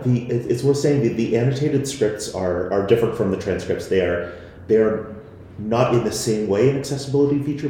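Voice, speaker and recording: A man's voice: on the low side, mellow. A man on a discussion panel, talking in an earnest, considered way. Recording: echoey room, faint noise.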